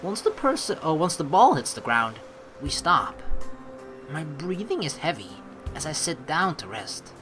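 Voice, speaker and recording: A young man hosting a let's play, reading the story aloud and adding expressive, rambling commentary. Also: a little high, unhurried, music in the background.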